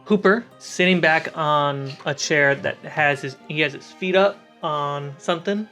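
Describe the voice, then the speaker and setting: A game master narrates a tabletop fantasy scene at a middling pitch, setting out places and characters, with music playing under the voice. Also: measured pace, pushed and bright.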